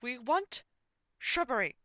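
A woman voicing a very tall, pompous antlered knight who booms out absurd demands in a comic fantasy story. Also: big pitch swings, slow, bright, forceful tone, no room sound, exaggerated voice. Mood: pompous, commanding. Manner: booming, shrill chanting, declamatory.

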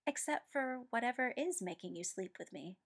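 A woman voicing a guarded male officer in a read-aloud story, speaking quietly and carefully. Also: on the high side, animated, moderate pace, breathy edge, voice pushed up.

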